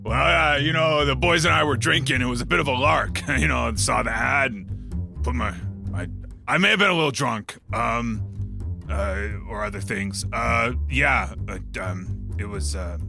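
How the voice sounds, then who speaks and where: A man's voice: medium pitch, creaky, music in the background, natural voice. A man playing a suspicious, boozy, cocky slacker contestant who stammers and repeats himself in a comedy audio drama interview. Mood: awkward, muddled. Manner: halting, stammering, repetitive, evasive.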